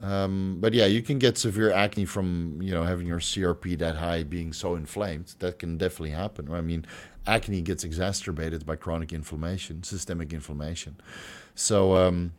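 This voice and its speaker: Hoarse, swearing man hosting a Q&A. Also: low voice, steady tone, normal speed. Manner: loose, joking, swears freely. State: voice getting hoarse and stuffy. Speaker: host answering viewer questions. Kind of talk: casual livestream Q&A.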